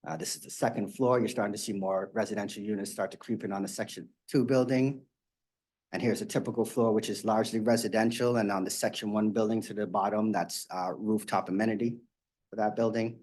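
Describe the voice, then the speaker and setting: Low-voiced man presenting plans. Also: fairly quick. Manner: steady explanatory, frequent fillers. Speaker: developer presenter. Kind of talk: community meeting presentation. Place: meeting room.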